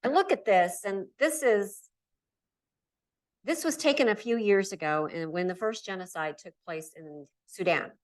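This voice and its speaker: A middle-aged woman from Nebraska speaks at a conference, leaning hard on key words and running through lists. Her voice sits at a middle pitch. The mic is some way off, so she sounds distant in the hall. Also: measured pace. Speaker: keynote speaker. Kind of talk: conference talk. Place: lecture hall. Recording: voice from far off the mic.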